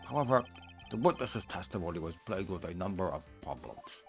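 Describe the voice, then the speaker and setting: A man voicing a formal male courtroom judge who speaks in a measured way, for a fan-voiced game. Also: deep voice, lively intonation, creaky, soft background music.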